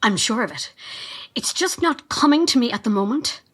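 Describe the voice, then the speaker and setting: An actress playing a fanatical cult assistant in an audio drama, measured, calmly menacing and reverent, with a breathy, husky voice. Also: female voice, fairly high pitch. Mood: devout. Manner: measured, veiled threats, reverent.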